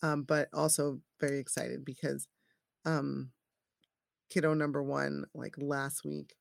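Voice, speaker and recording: A woman in her forties, a parent who works in scrubs, chatting casually in halting speech with many restarts. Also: low-pitched, flat delivery, gravelly, dry recording, studio quality. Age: 40s. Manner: halting, restarts often, says "like" and "you know". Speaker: healthcare worker and parent. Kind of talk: casual chat.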